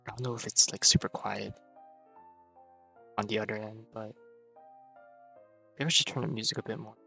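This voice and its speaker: A man's voice: lowish voice, level tone, normal speed, vocal fry, sharp tone. A man streaming a game and making casual, wry remarks while he plays. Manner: offhand, wry asides. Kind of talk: casual live commentary over gameplay. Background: game music.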